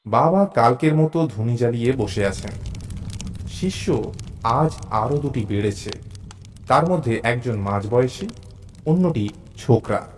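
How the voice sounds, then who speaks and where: A man narrating a first-person suspense story in a measured, dramatic way. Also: mid-range voice, darkish tone.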